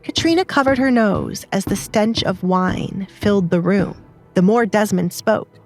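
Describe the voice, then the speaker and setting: A woman's voice: mid-range voice, unhurried, soft background music. A woman narrator reading a scripted dramatized story.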